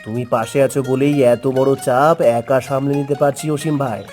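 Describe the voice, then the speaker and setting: A man's voice: medium pitch, steady tone, a little fast, darkish tone, soft background music, lightly acted. A man voicing a hopeful young village stallkeeper in a cartoon story, earnest and polite.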